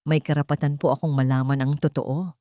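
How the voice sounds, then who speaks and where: A woman's voice: sits low, fairly even intonation, fairly quick, warm tone, dry recording, studio quality, natural voice. A woman voicing a steadfast, unafraid young partner in a story, firm and accusing. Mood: firm accusation.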